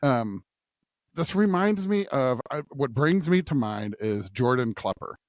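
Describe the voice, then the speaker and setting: A man's voice: medium pitch, lively intonation, measured pace, no echo, studio quality. A man hosting a conversational scripture discussion on a podcast, thinking aloud and often checking in with a questioning "right?". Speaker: podcast host.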